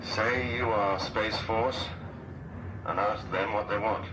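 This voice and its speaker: An actor playing a grumpy, commanding spaceship captain in a science-fiction radio drama, brusque and authoritative. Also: male voice, fairly low pitch, steady tone, slow pace, background noise. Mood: brisk, commanding.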